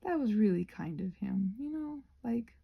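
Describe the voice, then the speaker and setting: Breathy, creaky woman rambling softly. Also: medium pitch, lively intonation, normal speed, dull audio. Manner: self-deprecating, hesitant, trailing off mid-thought; often drops into breathy near-whisper. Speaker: ASMR creator rambling while crafting. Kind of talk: casual rambling chat to viewers.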